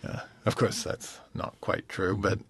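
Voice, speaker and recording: A man, a concert pianist, answering interview questions thoughtfully and pausing often to find his words. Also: low voice, level tone, a bit bright, breathy edge, dry recording. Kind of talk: relaxed radio interview.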